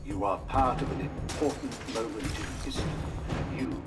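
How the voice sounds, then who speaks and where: Man playing a grand corporate announcer, loud music behind. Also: medium pitch, normal speed, far from the mic, lightly acted. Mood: proud, welcoming. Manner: grand, salesmanlike. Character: upbeat male corporate promotional announcer of futuristic company. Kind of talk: polished scripted corporate narration.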